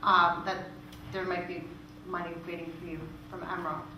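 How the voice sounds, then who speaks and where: A woman presents and answers questions in an informal, explanatory way. The room is very echoey and she sounds distant from the mic, with clear noise behind her. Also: on the low side, flat delivery, moderate pace. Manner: conversational, explanatory. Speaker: music royalty organisation manager presenting. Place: meeting room in front of an audience.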